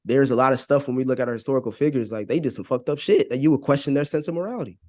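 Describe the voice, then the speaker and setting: Casual American male podcast voice, mid-pitched, loose and rambling, swears freely and circles back on phrases. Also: racing, darkish tone. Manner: rambling, repeats phrases, frequent "you know what I'm saying", profane. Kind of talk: casual conversational podcast opinion.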